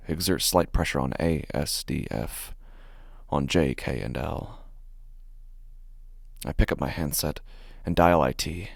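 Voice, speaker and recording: Casting: man to play a fussy, nervous male office clerk telling his horror tale; deep, fry-edged voice, halting delivery. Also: no room sound, clean studio sound. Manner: halting, deliberate, stammers when agitated. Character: anxious, meticulous male office worker unsettled by his typos. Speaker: narrator of a horror story. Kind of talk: first-person dramatic horror narration.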